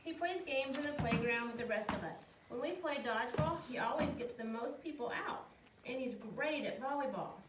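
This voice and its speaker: High-voiced woman reading aloud, distant and noisy. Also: normal speed. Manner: simple expressive storytelling for young children. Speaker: storyteller reading children's books aloud. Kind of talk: read-aloud of children's picture books with casual asides. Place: outdoors in the wind, with animals. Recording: echoey, distant, noisy windy outdoor recording.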